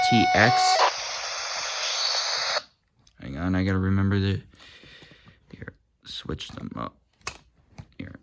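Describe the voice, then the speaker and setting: A man talking casually through a hands-on demo, halting often with uhs and ums while he handles the gear. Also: on the low side, creaky, low-quality recording. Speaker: hobby video host demonstrating walkie-talkies.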